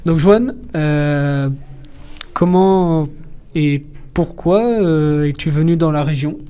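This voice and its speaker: A man's voice: on the high side, slow, dark tone, clear, resonant tone. Hesitant young man hosting a school interview. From Norway. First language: Norwegian. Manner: hesitant, many fillers. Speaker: student interviewer.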